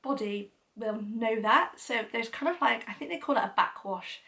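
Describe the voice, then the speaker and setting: A woman's voice: on the high side, lively intonation, normal speed, vocal fry, sharp tone, distant mic. A young woman with a cold and a blocked-up nose, chatting enthusiastically to camera as a parenting vlogger, with lots of "you know". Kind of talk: casual explanatory talk to camera.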